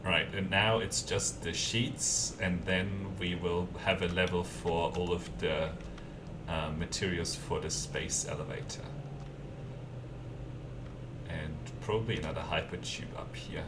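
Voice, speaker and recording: Low, slow man with a mild German accent. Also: steady tone, noisy background. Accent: mild German with an Australian twang. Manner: easygoing, chatty, answers viewer questions between tasks. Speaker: game streamer. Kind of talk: casual live-stream chat while building in a game. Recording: distant mic with keyboard typing behind.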